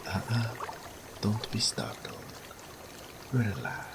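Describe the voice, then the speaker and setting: Deep male serpent creature, acted by a man, slow drawl, teasing and possessive, intimate romance roleplay, noisy watery background. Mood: seductive, soothing. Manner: slow, third-person speech, soft murmurs dropping to whispers. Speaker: audio roleplay voice actor.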